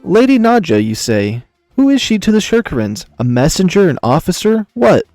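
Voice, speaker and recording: A man's voice: high-pitched, very animated, normal speed, darkish tone, dry recording, clean studio sound, soft background music, voice pushed up. A man voicing a commanding, impatient beast emperor in a visual novel, brusque and dryly amused as he questions his men. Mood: probing.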